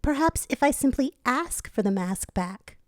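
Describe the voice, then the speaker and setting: A woman voicing a gentle, peace-loving female spirit who pleads softly in an audio story. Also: sits high, animated, unhurried, dry recording, studio quality, voice pushed up. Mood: pleading.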